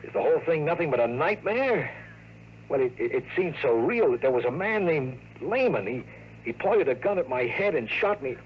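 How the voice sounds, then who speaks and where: An actor playing a bewildered, stubborn hard-boiled hero in a 1950s radio drama, dramatic and apt to stammer when shaken. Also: male voice, on the high side, very animated, moderate pace, lo-fi sound. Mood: dazed, shaken. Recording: noisy vintage radio recording.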